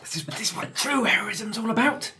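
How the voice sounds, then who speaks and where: Mid-pitched player bantering in a tabletop game. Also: a little fast, bright, forceful tone, a little hiss or hum. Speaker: player in a tabletop roleplaying show. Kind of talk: improvised casual game banter.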